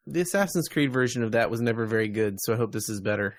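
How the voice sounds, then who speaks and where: A man's voice: mid-range voice, expressive, fast talker, no echo. A man co-hosting a podcast in casual chat about video games, putting questions in an inquisitive way. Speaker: podcast co-host.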